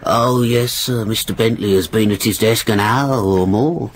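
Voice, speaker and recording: A man's voice: fairly low pitch, normal speed, breathy edge, poor audio. An actor playing a deferential office clerk who calls his employer sir at every turn. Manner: deferential, sir-ing, wry. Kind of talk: radio drama acting.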